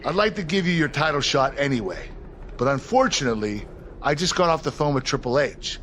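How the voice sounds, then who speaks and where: A man's voice: on the high side, animated, fairly quick, fairly bright tone. An actor playing a sympathetic but resigned male boss of a wrestling show in a game cutscene. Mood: resigned, frustrated. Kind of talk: video game cutscene acting.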